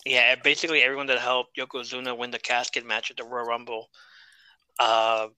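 A man's voice: medium pitch, level tone, a little fast, pushed and bright, clear, resonant tone, no room sound, low-quality recording. A man co-hosting a casual wrestling podcast, chatty and wry, often laughing at his own jokes. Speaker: podcast co-host.

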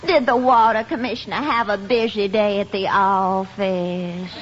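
An actress playing a coy, flirtatious Southern belle widow with a gushing, drawn-out delivery and Southern accent in a 1950s radio comedy. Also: female voice, medium pitch, fairly even intonation, crowd noise, lightly acted. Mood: playful, coy. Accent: American South. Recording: muffled, noisy vintage radio recording.

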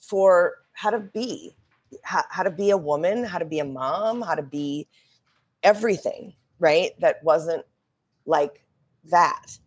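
A podcast guest in her forties, originally from Minnesota, with a low speaking voice. She talks frankly and openly in a casual back-and-forth with the host. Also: takes it slowly, breathy edge. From Minnesota, USA. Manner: frank and open, often laughing lightly between remarks. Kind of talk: casual interview conversation.

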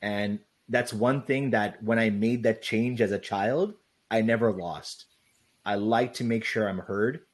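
A man's voice: lowish voice, measured pace. Talkative man, loose rambling speech. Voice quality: loud voice. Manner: loose, rambling, heavy on "like" and "right?". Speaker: guest. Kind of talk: casual interview chat.

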